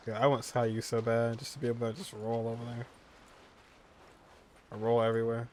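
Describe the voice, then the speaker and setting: A man's voice: medium pitch, flat delivery, a little fast, a little mellow, a little hiss or hum. A man giving casual playthrough commentary as a gaming streamer.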